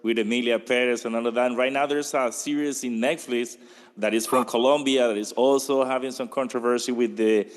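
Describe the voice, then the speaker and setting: A man's voice: mid-range voice, steady tone, a little fast, in a large hall. A man hosting a podcast panel on a video call, moderating with brief reactions. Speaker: podcast host. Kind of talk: casual panel chat.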